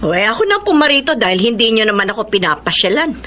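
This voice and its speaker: A woman's voice: fairly high pitch, big pitch swings, quick pace, background noise. A woman acting a nagging but caring middle-aged mother in a Filipino radio drama. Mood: nagging, questioning. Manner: nagging yet caring, interjecting.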